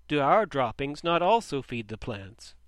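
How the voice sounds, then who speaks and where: A man voicing a gentle, sad antelope and goat who reason calmly. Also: on the high side, measured pace, no echo. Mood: pleading.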